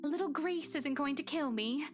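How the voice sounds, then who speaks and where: A woman playing a cheerful, soothing young pregnant wife who gently chides, in video game dialogue. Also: high-pitched, normal speed, faint music behind, lightly acted. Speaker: voice actor in a visual novel game.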